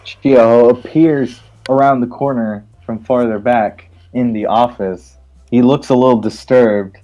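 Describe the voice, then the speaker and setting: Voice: medium pitch, steady tone, a little slow, warm tone, dull audio. A person reading a visual novel aloud in a casual, playful way and joking along as a co-player. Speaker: co-player and narrator in a group playthrough. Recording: clearly noisy mic with clicks.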